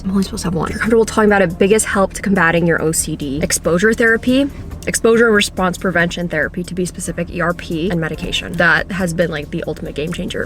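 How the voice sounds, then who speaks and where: Fast-chatting young woman vlogger. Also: on the low side, fairly bright tone. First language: Polish. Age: 20s. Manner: chatty, swears casually. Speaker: YouTuber. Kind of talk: casual Q&A vlog chat.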